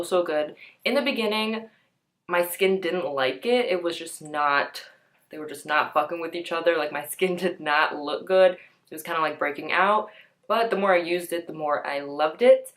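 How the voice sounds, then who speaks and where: A young woman getting over a cold, so her voice comes out a bit nasal and stuffy. She talks to camera in a chatty, easygoing beauty-vlog way, full of "like" and "um". Her pitch sits a little on the low side. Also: a bit bright. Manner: chatty, peppered with "like" and "um". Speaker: beauty vlogger. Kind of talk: casual chat to camera.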